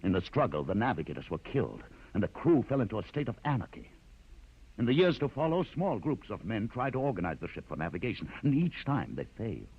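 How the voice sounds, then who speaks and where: An actor in a vintage radio drama playing a learned, commanding rebel leader with a measured, grandiose, theatrical delivery. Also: male voice, lowish voice, very animated, slightly rough, heavily acted. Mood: solemn, narrating. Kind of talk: 1950s radio drama acting. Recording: dull, muffled vintage radio recording.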